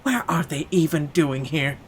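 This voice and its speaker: Man reading as indignant rich gentleman, noisy ambience. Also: on the high side, unhurried. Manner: indignant, expressive, stumbles over the script. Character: wealthy irritable British man narrating his inner thoughts. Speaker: gaming channel host. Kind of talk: dramatic read-aloud of visual novel narration.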